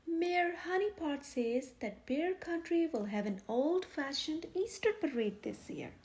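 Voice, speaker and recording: A cheerful papa bear from a picture book, voiced by a woman, hearty and reassuring. The delivery is animated and high, with a soft mellow tone. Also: a little slow. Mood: cheerful, eager. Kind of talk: children's storybook character voice.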